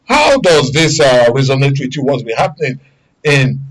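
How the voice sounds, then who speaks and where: A man with a Nigerian accent hosting a current affairs discussion in an animated, exhorting way. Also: mid-range voice, moderate pace, low-quality recording. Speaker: radio and TV current affairs host. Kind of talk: talk show discussion.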